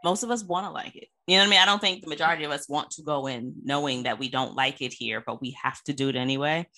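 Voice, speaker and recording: A woman's voice: sits low, fast talker, sharp tone. A woman hosting a casual chat interview, informal and affirming, with colloquial phrasing. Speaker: podcast host, interviewer.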